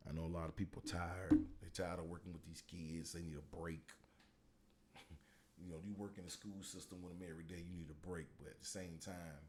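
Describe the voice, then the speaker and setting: A man with a deep voice hosting a casual solo podcast as a middle school football coach, talking in a relaxed, rambling way with many fillers. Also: a little fast, heavy background noise.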